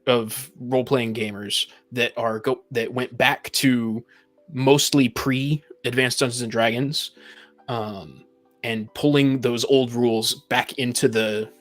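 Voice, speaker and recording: A man's voice: medium pitch, a bit bright. A man on a podcast panel giving his opinions in a casual, assertive, explanatory way.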